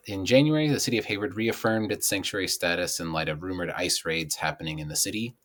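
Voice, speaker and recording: Casting: American male co-host with a low-pitched voice for reading community news headlines. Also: fairly bright tone. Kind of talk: scripted news reading with light chat.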